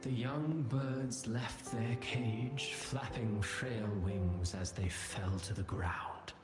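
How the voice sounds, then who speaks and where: An actor playing a solemn, cryptic man in a video game, speaking in measured, portentous warnings. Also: mid-range voice, close to an everyday voice. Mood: ominous. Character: solemn, cryptic male figure issuing veiled warnings. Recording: echoing voice under clear music.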